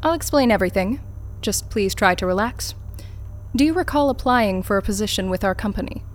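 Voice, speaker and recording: An actress playing a calm, officious corporate interviewer in an audio drama, speaking formally and with composure. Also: female voice, mid-range voice, brisk, close to an everyday voice. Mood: calm, measured.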